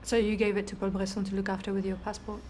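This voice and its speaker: Female detective, played by an actress, TV drama: quick, mellow-voiced, blunt, all business. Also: medium pitch, completely flat delivery, slight background noise, natural voice. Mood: blunt, pressing. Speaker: police detective. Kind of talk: naturalistic TV drama dialogue.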